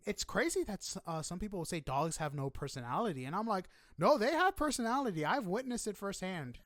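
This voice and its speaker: A man with a somewhat high-pitched voice hosts a casual interview. He talks in an informal, chatty way with effusive reactions. The sound is dry, with hardly any room in it. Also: very animated, a little fast, a bit bright, dry recording. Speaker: podcast interviewer.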